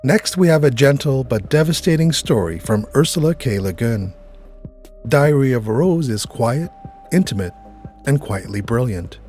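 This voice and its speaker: A male host reads scripted commentary in a mid-pitched voice. His intonation is very animated. Soft music sits behind him. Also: moderate pace. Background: faint music.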